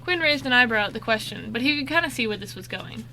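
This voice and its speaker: A woman's voice: a little high, animated, fast, pushed and bright, poor audio. A woman reading scripted narration for an amateur radio drama, with small stumbles and self-corrections. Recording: faint background noise.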